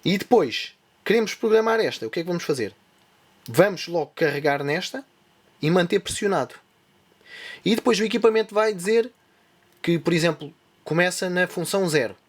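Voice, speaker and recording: Tech tutorial host, a man with a European Portuguese accent, fairly high voice, step-by-step demo. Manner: step-by-step explaining while demonstrating. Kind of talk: hands-on device tutorial.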